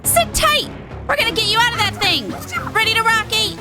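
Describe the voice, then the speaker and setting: A woman voicing a brash, upbeat young pop star from a video game, slangy, punchy and excitable. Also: extremely high pitch, fairly quick, music in the background, pitched above the performer's own voice. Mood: excited. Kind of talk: character reading of video game dialogue.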